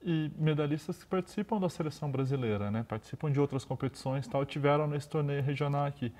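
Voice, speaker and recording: A man's voice: fairly high pitch, fast, a little mellow. A man from Brazil hosting a TV sports interview, asking questions in a conversational way. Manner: conversational, leading questions with tag "né". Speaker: TV sports show host. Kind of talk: TV studio interview. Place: TV studio. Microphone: lapel mic.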